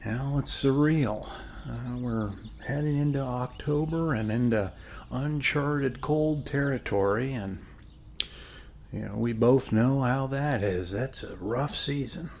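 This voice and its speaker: A man talks in a friendly, soft ASMR style, as if to a neighbor sitting across from him. His pace is very slow, with plenty of pauses, and his mid-pitched voice often drops into a dry fry. A faint layer of noise sits behind him. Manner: soft-spoken, friendly, unhurried, pausing often. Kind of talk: ASMR roleplay, one-sided casual chat over coffee.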